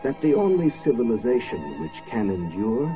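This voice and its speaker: Male actor, solemn rabbi, oratorical, very animated pitch, 1940s radio drama, thin phone-like sound, music behind. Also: natural voice. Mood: solemn, emphatic. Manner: solemn, deliberate. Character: grave, oratorical rabbi preaching on fallen tyrants. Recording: tinny, band-limited 1940s radio recording.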